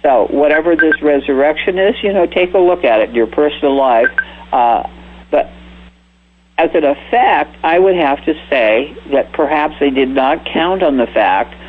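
Male talk radio host, husky and rambling, heard over thin phone-like audio. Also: on the high side, normal speed. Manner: rambling, speculative, asks guests for comments. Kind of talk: casual talk radio discussion.

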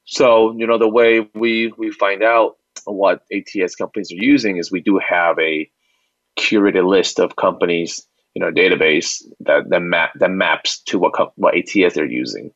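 Deep voiced guy explaining stuff in an interview, kinda halting, repeats words, muffled lo-fi sound. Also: fairly even intonation, a bit bright, no echo. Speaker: company founder and CEO, interview guest. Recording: dull lo-fi band-limited audio.

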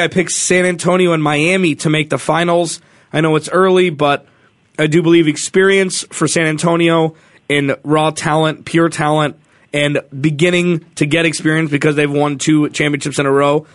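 A male sports talk radio host with a slightly high voice, speaking casually and correcting himself now and then. The sound is close and dry, with no room echo. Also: level tone, moderate pace. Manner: chatty, self-correcting, opinionated.

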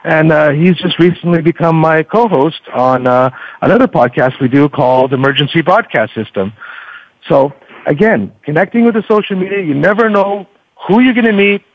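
A man's voice: fairly high pitch, lively intonation, normal speed, no echo, muffled recording. A man speaking as a podcaster guest in a casual interview, telling anecdotes in a chatty, hesitant way. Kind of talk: casual, conversational interview talk.

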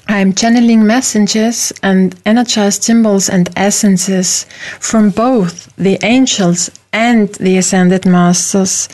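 Slow-speaking Austrian woman radio host. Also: mid-range voice, a little mellow. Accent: Austrian German. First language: German. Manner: slow, careful non-native English with hesitations. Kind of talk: radio talk show.

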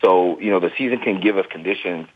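A man from the US Midwest answering interview questions about running his small business, relaxed and thinking aloud. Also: sits low, fast, no echo, narrow, tinny audio. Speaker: guest beekeeper and small business owner.

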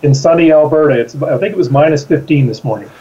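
A man's voice: fairly high pitch, fast talker, dark tone, muffled recording. A man from Canada, a business coach, putting friendly interview questions in an upbeat, folksy way.

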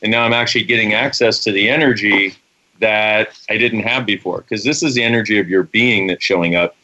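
A man co-hosts a radio talk show with a bright, forceful voice that sits a little low. He jokes and laughs easily, then settles into long explanations for a caller. The audio is dull and lo-fi. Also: brisk. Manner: jokey, laughs often, then explains at length. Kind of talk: casual radio chat.

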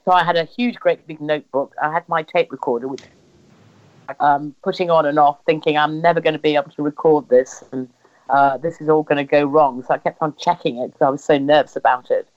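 A woman's voice: deep voice, fairly even intonation, fairly quick, darkish tone. English woman storytelling, slightly dull recording. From England. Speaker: interviewee recounting family history. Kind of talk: personal storytelling in conversation.

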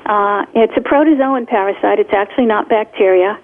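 A woman whose first language is Polish, a retired professor explaining her research as a guest in an interview. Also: medium pitch. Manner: explanatory, measured lecturing. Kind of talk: radio interview answers. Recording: tinny phone line.

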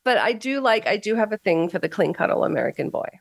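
A woman hosting a talk radio show, hoarse as she loses her voice, playful and teasing with her co-host. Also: medium pitch, very animated, fast, no echo, rough recording. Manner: playful, teasing, laughs often. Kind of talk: casual radio chat.

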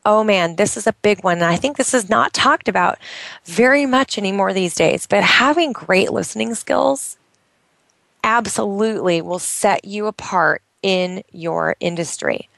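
A woman giving an enthusiastic motivational talk as a business coach, emphatic and full of exclamations, with a naturally loud voice. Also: mid-range voice, measured pace, gravelly, dry recording.

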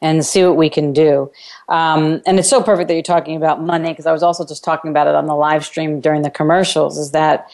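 A woman's voice: sits low, steady tone, fast, a little mellow. A woman hosting a radio call-in show, coaching a caller in an encouraging, affirming way with probing questions. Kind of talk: live coaching conversation with a caller.

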